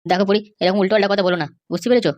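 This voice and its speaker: A woman's voice: medium pitch, fairly even intonation, racing, a little mellow, no room sound, lightly acted. A woman voicing a dutiful, bewildered married young son in a comedy cartoon, earnest and questioning.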